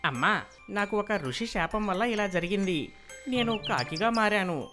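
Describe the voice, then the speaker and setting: A woman voicing a gentle, devoted male talking crow in a children's storybook tale. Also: medium pitch, expressive, measured pace, music in the background, natural voice. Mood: earnest. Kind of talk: storybook character voice.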